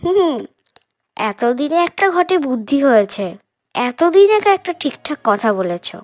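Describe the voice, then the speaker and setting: Woman as a high, swooping, pert little bird. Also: sing-song, measured pace, dry recording. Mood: teasing. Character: chirpy, outspoken, teasing little female bird. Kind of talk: children's fairy-tale cartoon acting.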